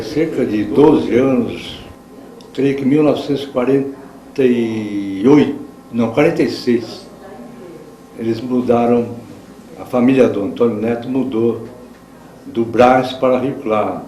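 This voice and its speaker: An older man with an inland São Paulo Brazilian accent reminisces very slowly in a mellow, dark-toned voice. He pauses and corrects himself as he goes. The room is clearly echoey. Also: medium pitch. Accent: Brazilian, São Paulo state interior. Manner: pausing, correcting himself as he recalls. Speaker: childhood friend giving a testimonial. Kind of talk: slow reminiscing testimonial. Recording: echoey room, faint background noise.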